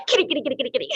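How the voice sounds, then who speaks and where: A woman's voice: high voice, echoey room, muffled recording. A woman playing a seductive, sadistic twin sister in a horror play, teasing and mock-polite. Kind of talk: dramatic livestream script reading.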